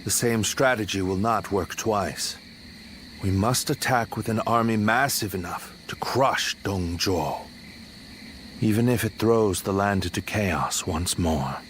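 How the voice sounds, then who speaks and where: A man playing a steady, determined warrior of ancient China in a video game, measured and composed. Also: fairly low pitch, slight background noise. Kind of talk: video game dub acting.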